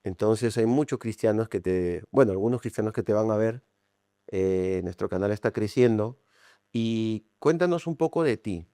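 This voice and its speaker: A man's voice: on the low side, moderate pace, dark tone, dry recording, clean studio sound. A man hosting an interview channel, introducing his guest and asking questions with frequent short acknowledgments. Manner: friendly, lots of short acknowledgments. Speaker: interview host. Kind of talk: interview conversation.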